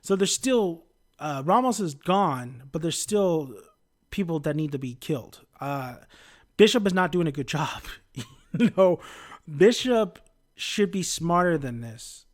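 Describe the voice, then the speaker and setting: A man's voice: fairly high pitch, animated, a little slow. A man casually reviewing a TV episode, rambling with many fillers, often losing his train of thought, upbeat and chatty.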